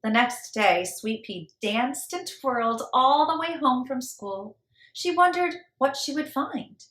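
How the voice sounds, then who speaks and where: A woman reading a children's story aloud in an expressive, theatrical and deliberate way. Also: high-pitched. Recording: roomy echo with background noise.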